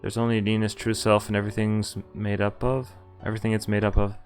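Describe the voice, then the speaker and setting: Low-voiced man narrating a visual novel over music. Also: monotone, quick pace. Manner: reads story text aloud with casual commentary. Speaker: streamer reading a visual novel aloud. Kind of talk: game playthrough narration, first-person reading with casual asides. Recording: game music behind the voice. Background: game music.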